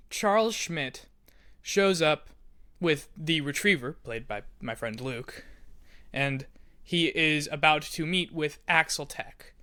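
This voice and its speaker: A man's voice: on the high side, sharp tone, dry recording. A man hosting in an informal, self-deprecating way, casually explaining a story to listeners. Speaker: podcast host and creator.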